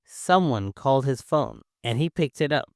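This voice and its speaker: A young man from China with a Chinese accent, whose first language is Mandarin, teaching English in an encouraging, clear and simple way. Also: mid-range voice, expressive, moderate pace, darkish tone, dry recording, clean studio sound. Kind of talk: scripted English-learning lesson.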